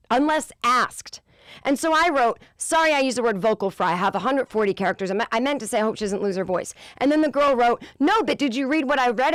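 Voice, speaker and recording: A woman in her forties hosting a podcast, with a low voice, ranting in an exasperated, profane way in a casual comedic monologue. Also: a bit bright, no room sound. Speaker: podcast host.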